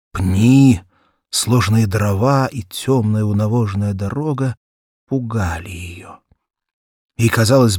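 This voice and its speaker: Guy reading a kids audiobook, bit husky, really varied intonation, takes his pauses, dry studio sound. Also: lowish voice, darkish tone, no echo. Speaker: audiobook narrator. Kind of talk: scripted storytelling narration for children.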